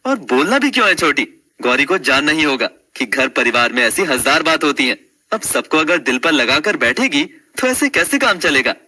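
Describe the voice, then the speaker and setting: An actor playing an easygoing, protective young husband who chides and advises casually in a family story. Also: male voice, on the high side, very animated, normal speed, bright, forceful tone, exaggerated voice. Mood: reasoning. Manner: chiding, reasoning, casual.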